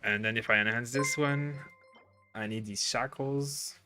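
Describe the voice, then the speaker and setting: A man's voice: medium pitch, fairly even intonation, unhurried, over music. A young man from Quebec with a Quebec French accent, casually chatting through a livestream and thinking aloud with lots of fillers. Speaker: game streamer.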